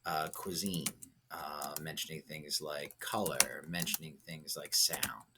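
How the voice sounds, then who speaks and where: A man's voice: very deep voice, fairly even intonation, a little slow, heavy background noise. A man teaching a lesson, thinking aloud and coaching step by step with short check-in questions. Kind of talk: instructional lesson, thinking aloud through a writing example.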